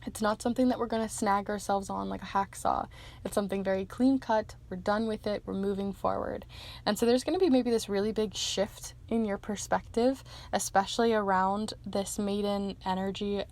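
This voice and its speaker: A young woman reading pick-a-card charms and tarot for viewers, talking gently and meandering with frequent ums, her voice going hoarse. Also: mid-range voice, steady tone, moderate pace. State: losing her voice.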